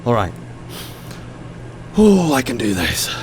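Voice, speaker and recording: An actor playing a persuasive, stubborn ethics professor in an audio drama, speaking informally and naturally. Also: male voice, medium pitch, huge pitch swings, takes it slowly, soft background music. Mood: determined. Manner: informal, hesitant then insistent, mild swearing.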